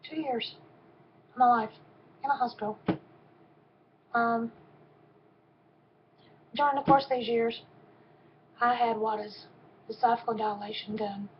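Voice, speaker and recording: A soft-voiced woman in her fifties, her tone sitting a little high for a woman. She gives a personal testimony slowly and haltingly, pausing often with ums. The recording is dull and lo-fi, with a faint hum behind her. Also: fairly even intonation. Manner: halting, with frequent pauses and ums.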